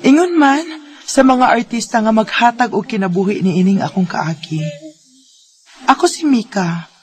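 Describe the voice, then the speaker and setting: A woman's voice: on the high side, lively intonation, normal speed, close to an everyday voice. A woman with a Cebuano Filipino accent playing an earnest letter-writer who narrates her life story in a radio drama. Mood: earnest, polite.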